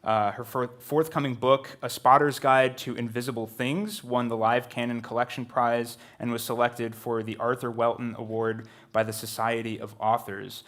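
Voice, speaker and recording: A man hosts a book presentation and reads out a long introduction for a poet. He stumbles along with frequent ums and uhs, his voice sitting at an ordinary, middle pitch. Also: level tone, moderate pace, few pauses, fairly bright tone. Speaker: event host introducing a poet. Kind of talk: reading a guest introduction.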